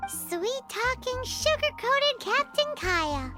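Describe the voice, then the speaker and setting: A very squeaky childlike fairy. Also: female voice, big pitch swings, takes it slowly, over loud music, heavily acted. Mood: teasing. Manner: childlike, refers to self in third person. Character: tiny female fairy companion, childlike, cheeky, food-loving. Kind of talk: English game dub voice acting.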